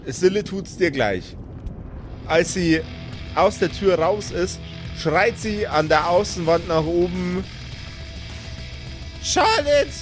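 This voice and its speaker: A man narrating as game master in an improvised tabletop story, slow and playful with suspense. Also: sits high, music in the background.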